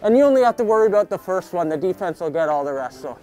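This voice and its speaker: A young man outdoors giving a jokey sports tutorial, coaching in a mock-serious, deadpan way full of hockey slang. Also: high-pitched, racing, rough recording. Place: backyard, outdoors. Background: music.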